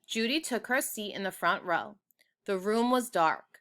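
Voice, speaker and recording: A woman reads a children's book aloud. Her voice is bright and sits at an average pitch. She narrates expressively and addresses her young listeners directly. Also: animated, unhurried.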